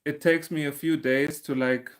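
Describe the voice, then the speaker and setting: A man's voice: fairly high pitch, steady tone, normal speed. A man, hosting a casual livestream and chatting through viewer comments, laughing often and restarting his sentences. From Germany. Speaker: crochet channel host.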